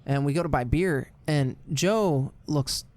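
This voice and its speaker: A man in his late twenties with a high voice, co-hosting a podcast and telling profane stories in casual chat. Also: unhurried. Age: late 20s. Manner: loud storytelling, swears often, acts out quotes. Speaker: podcast co-host.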